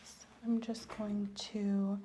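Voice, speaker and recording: Soft-spoken woman in ASMR, faint noise. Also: mid-range voice, level tone, takes it slowly. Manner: soft-spoken, gently instructing, reassuring. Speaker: ASMR creator playing a doctor. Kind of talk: ASMR medical exam roleplay.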